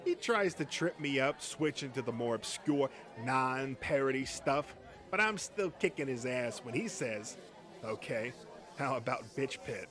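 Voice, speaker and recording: A man's voice: medium pitch, animated, a little slow, a bit bright, pitched below the performer's own voice. A man acting a boastful, crude male horror fan in a comic dub, telling stories with profane swagger. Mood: cocky.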